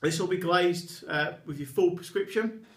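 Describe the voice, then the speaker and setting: A chatty, enthusiastic man in his thirties gives a product walkthrough at a desk, his voice sitting a little high for a man. The small room around him adds a clear echo. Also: moderate pace. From England. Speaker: eyewear retailer presenting products. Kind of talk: product demonstration to camera. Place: small indoor office with a poster behind a desk. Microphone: camera in front at desk distance.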